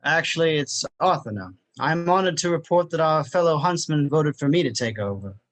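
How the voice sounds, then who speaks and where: Formal spy chief, a man reading it, measured, a bit high voice, dry sound. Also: lively intonation, fairly bright tone, no echo. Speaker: table read actor reading Merlin. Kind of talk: amateur online script reading.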